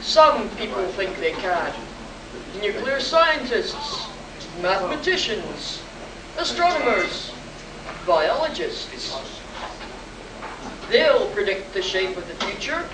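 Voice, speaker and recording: A woman playing a solemn, portentous announcer in a vintage science-fiction radio play, with measured delivery. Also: mid-range voice, sing-song. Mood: dramatic, solemn. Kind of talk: 1950s-style radio drama narration. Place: convention hall, live stage performance. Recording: roomy, distant hall recording with noise.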